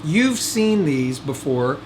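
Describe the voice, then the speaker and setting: Folksy man over loud background hum. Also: a little high, very animated, slow pace. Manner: folksy, jokey, rambling asides. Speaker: host of DIY video series. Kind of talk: casual how-to vlog talk. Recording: loud air conditioner noise behind voice. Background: air conditioner hum.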